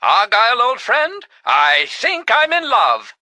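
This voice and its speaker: An actor playing a pompous, gallant adventurer in an old-time radio serial, with theatrical, grandiose delivery. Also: male voice, very high voice, huge pitch swings, takes it slowly, sharp tone, faint music behind, heavily acted. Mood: smitten.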